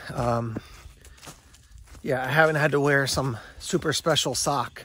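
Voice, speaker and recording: A middle-aged American man talks casually to the camera as a gear review vlogger. His mid-pitched voice is conversational and unscripted, with some hesitation as he finds his words. He is recorded outdoors with clear ambient noise behind him. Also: a bit bright. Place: outdoors, woods, yard and parking lot.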